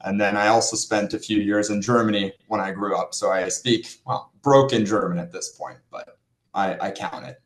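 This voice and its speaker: A young Norwegian man, a financial planner, chatting casually as an interview guest, with relaxed fillers and restarts. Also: on the low side, normal speed, reverberant, rough recording. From Norway.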